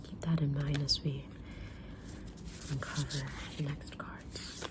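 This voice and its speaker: A woman playing a kind, mysterious witch fortune teller in an ASMR roleplay, whispering gently and deliberately with pauses. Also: deep voice, level tone. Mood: calm, mysterious. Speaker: ASMR roleplayer reading tarot cards.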